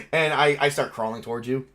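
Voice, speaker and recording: A man's voice: medium pitch, steady tone, measured pace, a bit bright. A man acting as game master, narrating a tabletop game casually and dramatizing events in informal words.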